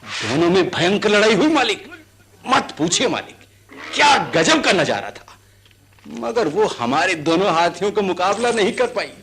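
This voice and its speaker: A man's voice: sits high, measured pace, full character voice. An actor playing an earnest, servile male elephant catcher who tells his tales vividly in a radio drama. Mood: excited recounting. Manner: servile address, vivid excited recounting. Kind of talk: Hindi radio drama acting.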